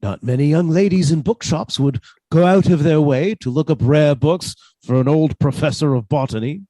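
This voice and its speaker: An actor playing a mild, henpecked older botany professor with a halting, polite manner in a radio suspense drama. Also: male voice, on the high side, mellow, no echo, close to an everyday voice. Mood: shy, courteous.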